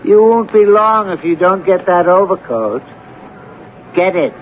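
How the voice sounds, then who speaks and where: A self-important chief clerk who loves to needle in a seventies radio drama. His voice is fairly high, urbane and bantering, on a tinny recording with faint hiss. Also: expressive, unhurried. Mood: mocking. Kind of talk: 1970s radio drama acting.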